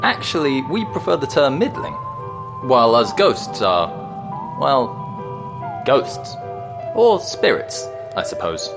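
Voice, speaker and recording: A man's voice: medium pitch, sing-song, slow, long pauses, music in the background. An actor playing a polite, slightly nervous male ghost in an audio drama, chatting in mild understatement. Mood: matter-of-fact. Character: friendly, nervous, polite male ghost, matter-of-fact about danger.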